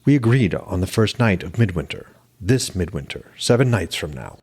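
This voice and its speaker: Man playing Loki, a husky, insistent schemer. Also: low voice, very animated, normal speed, a little mellow, heavily acted. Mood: indignant. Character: Loki, incredulous, scheming male plotter of murder.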